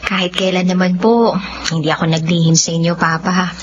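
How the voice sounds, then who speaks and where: A woman's voice: fairly low pitch, flat delivery, brisk, noisy background, lightly acted. A woman acting a tender, fearful young sweetheart in a romantic radio drama, hesitant and emotional. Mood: shy. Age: young adult. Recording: dull lo-fi audio with music.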